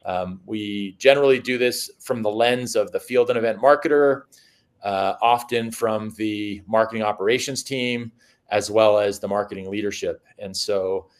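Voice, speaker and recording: A man hosting a business webinar, halting and full of fillers as he introduces guests. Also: lowish voice. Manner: halting, many fillers and restarts. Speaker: webinar host. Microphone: video call.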